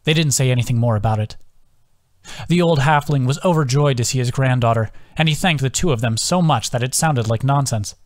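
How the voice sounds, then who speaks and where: Casting: male author-narrator, mid-pitched voice, scripted fantasy storytelling, close and very dry. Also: brisk, no room sound. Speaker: author reading own audiobook.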